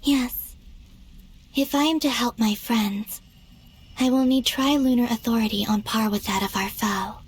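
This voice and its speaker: A woman voicing a serene, earnest young moon goddess in an anime game, soft-spoken and measured. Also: fairly high pitch, lightly acted. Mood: resolute. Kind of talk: anime game cutscene acting.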